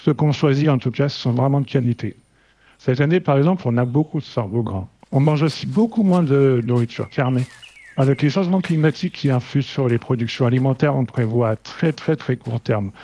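Late-fifties male, warm dark timbre, mid pitch, informal conversational testimonial. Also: a little fast, lo-fi sound. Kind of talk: relaxed first-person testimonial.

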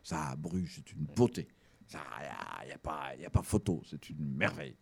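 A man's voice: very deep voice, moderate pace, vocal fry, husky. A man hosting a radio show, chatting with a guest and reading a scripted chronicle in a studio. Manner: expressive, lingering over words. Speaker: radio host. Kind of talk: casual chat, then scripted radio chronicle.

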